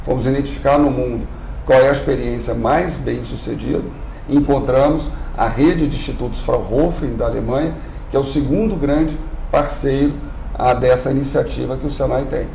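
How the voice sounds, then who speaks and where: A man, an institute executive on a live TV interview, speaking in a formal, measured way and often clearing his throat. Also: mid-range voice, steady tone, mellow. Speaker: industry training institute executive. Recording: roomy with faint noise.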